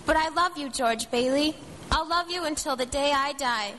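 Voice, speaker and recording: A woman's voice: sits high, moderate pace, bright, forceful tone. A woman voicing a sweet, shy, earnest young girl in a live radio drama. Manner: earnest, polite. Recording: reverberant stage hall, background noise.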